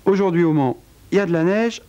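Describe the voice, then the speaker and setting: A man telling a dark true-crime tale in a wry, theatrical way, with a cold. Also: quick pace, clear, resonant tone, dull audio. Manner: wry, theatrical storytelling with big pitch swings. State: has a cold. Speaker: narrator. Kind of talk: dramatic spoken narration.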